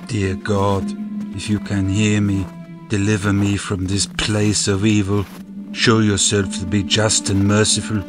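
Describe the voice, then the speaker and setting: An actor playing a war-weary soldier in bitter, anguished prayer, a grave and deliberate audio drama monologue. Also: male voice, lowish voice, completely flat delivery, close to an everyday voice. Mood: pleading. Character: despairing, war-weary male soldier praying bitterly for death. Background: music.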